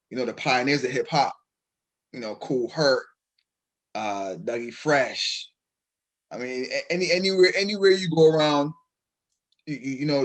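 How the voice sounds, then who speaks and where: A man's voice: mid-range voice, rough recording. A man from Brooklyn with a Bajan accent, talking as a poet guest in a radio interview, with lots of restarts and fillers. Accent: Barbadian (Bajan). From Brooklyn, New York. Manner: hesitant, many restarts and fillers.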